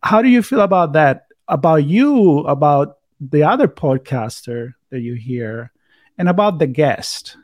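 A man's voice: fairly high pitch, expressive, unhurried, a little mellow, no room sound, studio quality. A man with an Italian accent, a native Italian speaker, hosting a casual podcast interview and often rephrasing as he goes. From Italy. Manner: searching phrasing, self-correcting. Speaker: podcast host.

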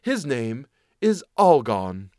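Man doing a sly, lively storybook tomcat. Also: mid-range voice, slow, no echo, studio quality. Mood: condescending. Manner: persuasive, cool, self-assured. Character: sly, greedy, smooth-talking male cat. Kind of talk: fairy tale storytelling.

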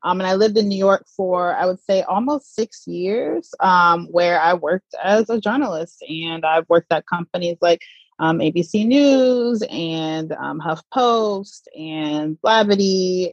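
A woman's voice: fairly low pitch, measured pace, no echo. Chatty woman in her thirties, muffled audio. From Southern United States. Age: 30s. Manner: chatty, lots of fillers, laughs easily. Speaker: podcast guest, platform creator. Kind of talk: casual interview answers.

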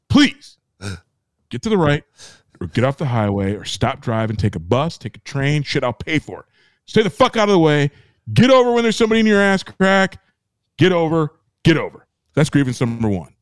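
A man co-hosting a podcast, airing grievances in a profane, exasperated rant that builds into shouting. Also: fairly high pitch, no echo.

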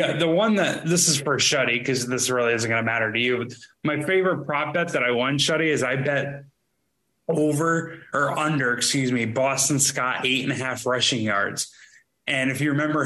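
A man chats about his betting wins on a relaxed podcast. His voice is bright and forceful, and his delivery is loose and rambling, heavy with fillers. His pitch sits in the middle for a man. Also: moderate pace, poor audio. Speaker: podcast co-host, sports bettor. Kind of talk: casual podcast chat.

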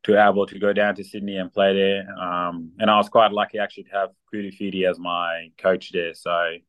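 A young man from South Sudan, a guest athlete chatting casually in an interview, relaxed and full of ums. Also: sits low, fairly quick. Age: young adult. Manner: relaxed, many ums and fillers.

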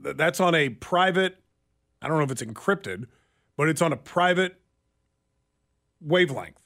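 A man's voice: a little high, expressive, moderate pace, a bit bright. An American man hosting a radio talk show and taking calls, speaking into a close studio microphone.

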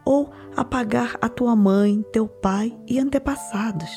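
A woman narrating a documentary in a calm, measured, slightly whispery way and putting questions to her interviewees. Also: a little high, gravelly, faint music behind. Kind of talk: reflective scripted narration.

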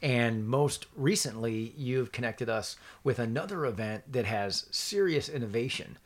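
A man's voice: medium pitch, animated, measured pace. A man hosting a podcast, praising and thanking his guest effusively. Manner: warm, grateful and effusive. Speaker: podcast host. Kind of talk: casual podcast chat.

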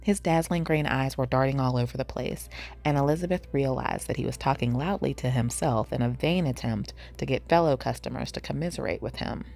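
A woman's voice: extremely low pitch, brisk, vocal fry, a little mellow. A woman narrating a scripted story as a reader, with music behind her.

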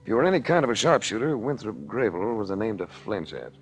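Faint old-radio noise sits behind a hard-boiled private eye. His low voice is wry and terse, in classic 1940s detective style. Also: very animated, fast. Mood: wry narration. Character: cool, hard-boiled private investigator narrating his case. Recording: noisy vintage 1940s radio recording.

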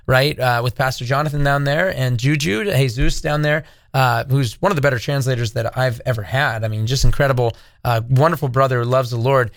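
A man co-hosting a casual discussion, speaking earnestly and slowing down to make his points. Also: mid-range voice, no echo, studio quality. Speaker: co-host.

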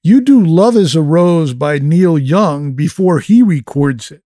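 A male interviewer asks short, direct questions. His voice is mellow and a little high for a man. The sound is dry and close, with no room in it. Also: expressive, measured pace, no echo. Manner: brief, direct prompts. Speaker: interview host.

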